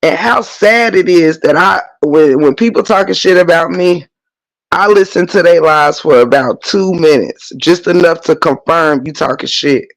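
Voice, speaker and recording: Hoarse guy on his livestream, kinda high voice, stuffy nose, cussing and repeating himself, reading chat. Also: moderate pace, poor audio. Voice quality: profane, emphatic ranting with repetition. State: hoarse, losing voice; congested. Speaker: livestream host.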